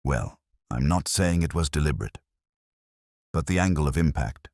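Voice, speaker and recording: A man voicing a brisk, sceptical medic in a storybook mystery, with a clipped, questioning manner. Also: rumbling low, expressive, darkish tone, no echo, clean studio sound. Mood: grave.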